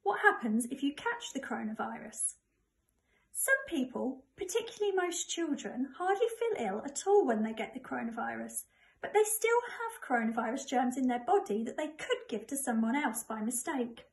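High-voiced English woman reading to children. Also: lively intonation, normal speed. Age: middle-aged. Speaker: primary school staff member reading to pupils. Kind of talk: children's book read aloud.

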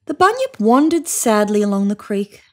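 A woman in her thirties narrating a children's picture book in an expressive read-aloud style. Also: medium pitch, moderate pace. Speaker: storybook reader. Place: indoors, on a sofa in a room.

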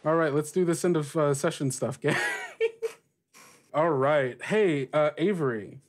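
Man, slightly high voice, game master, casual narration and podcast chat. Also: a little slow.

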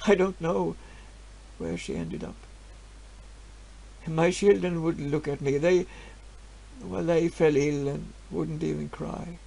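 An actor playing a grieving, stubborn peasant farmer, telling his story in a halting voice that swings from hushed to loud. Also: a little high, lively intonation, creaky, heavily acted, low-quality recording. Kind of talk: dramatic storytelling monologue.

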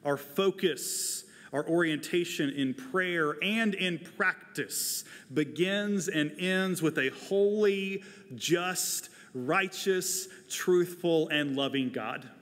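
An American pastor, a man in his forties, delivering a sermon in a measured, deliberate, emphatic way. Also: fairly high pitch, fairly bright tone. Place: church sanctuary, at a pulpit. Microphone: lapel microphone. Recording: reverberant large church hall.

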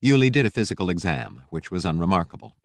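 A man reading a fiction audiobook as narrator, with measured, dramatic storytelling delivery. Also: low-pitched, sing-song, vocal fry, no room sound, studio quality.

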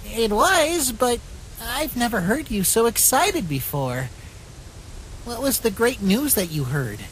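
A man voicing a small, polite, inquisitive talking flower in an animated comic dub. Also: very high-pitched, slow pace, a little hiss or hum, full character voice, rough recording. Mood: sweet, eager.

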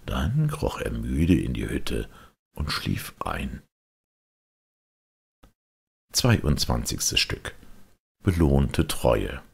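Very deep, gravelly male storyteller. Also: big pitch swings, slow, a little mellow. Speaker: audiobook narrator. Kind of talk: expressive scripted storybook narration.

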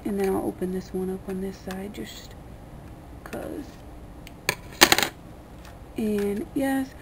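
A woman giving a casual, unscripted how-to in a rambling, colloquial, slightly crude way, with a creaky voice. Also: medium pitch, slow pace. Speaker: vlogger giving a how-to demonstration. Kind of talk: casual unscripted hands-on tutorial. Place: small dim room indoors at a table. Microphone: camera mic pointed at hands on table. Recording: noisy homemade camera recording.

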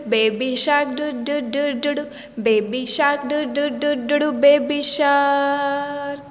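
Woman singing kids nursery rhymes, high voice, super slow, little music behind. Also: long reverb tail. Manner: repetitive sing-along delivery. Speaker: children's song singer. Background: faint backing music.

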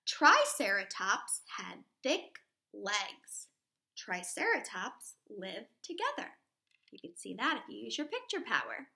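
A woman's voice: medium pitch, huge pitch swings, takes it slowly, gravelly, pushed and bright. A woman in her thirties, a primary school teacher, reading aloud to young children and explaining things simply with questions along the way. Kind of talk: children's read-aloud lesson.

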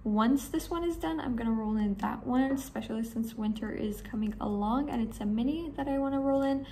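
Hoarse young woman, gravelly chatty vlog. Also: a little high, a little fast, warm tone, a little hiss or hum. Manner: chatty, enthusiastic, rambling with fillers. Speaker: beauty vlogger. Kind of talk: casual talk to camera. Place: small room indoors.

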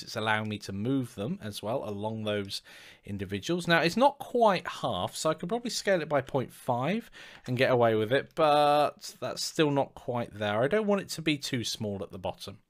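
A man giving a step-by-step software lesson in a chatty voice-over, asking the viewer questions as he goes. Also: medium pitch. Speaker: software tutorial instructor. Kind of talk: screen-recorded software lesson.

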